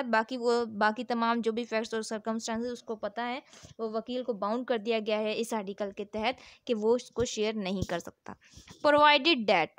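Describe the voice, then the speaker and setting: A woman's voice: fairly high pitch, no echo, studio quality. A woman with a Pakistani accent lecturing on law, reading formal text aloud and explaining it in a didactic, repetitive way. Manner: didactic, repeats points and asks rhetorical check questions.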